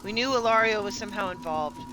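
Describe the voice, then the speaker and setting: A woman's voice: mid-range voice, fast talker. Woman streamer chatting over background noise. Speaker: game streamer. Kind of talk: casual live gameplay commentary.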